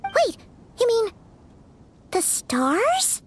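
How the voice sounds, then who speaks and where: A woman voicing a tiny, childlike fairy companion in a video game, chirpy, naive, excitable and cutesy. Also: very high voice, very slow, soft background music, full character voice. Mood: uncertain, questioning. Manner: chirpy, cutesy, refers to herself in third person. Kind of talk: English game voice acting, cartoonish.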